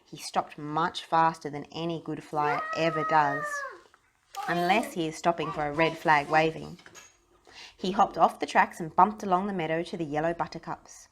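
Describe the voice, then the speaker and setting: A woman reading a children's story aloud in an expressive narrating style. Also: low-pitched, normal speed, background noise. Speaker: storybook reader.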